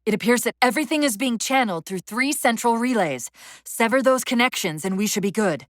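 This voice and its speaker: A woman voicing a video game's female AI companion, an urgent, wry military guide with crisp, technical, commanding delivery. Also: a little high, animated, fairly quick, no echo, clean studio sound, natural voice. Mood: focused. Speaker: video game voice actor. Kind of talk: video game dialogue, tactical callouts.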